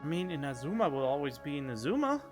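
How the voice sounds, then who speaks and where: A man's voice: a little high, very animated, quick pace. A man giving casual game playthrough commentary, musing aloud and talking back to the game into a close studio microphone. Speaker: game streamer. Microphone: close studio condenser microphone. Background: game music.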